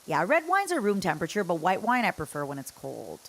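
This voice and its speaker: A woman's voice: lowish voice, wildly swooping pitch, brisk. A woman livestreamer chatting casually as she games, rambling and playful as she talks to her viewers. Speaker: livestreamer, host. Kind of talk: casual chat while gaming, answering chat.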